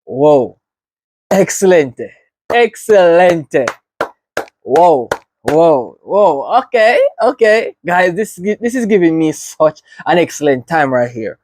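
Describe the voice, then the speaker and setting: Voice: mid-range voice, sing-song, takes it slowly, darkish tone, slight background noise. A reaction video host with a Jamaican accent, giving earnest, reflective commentary and often checking in with "right?".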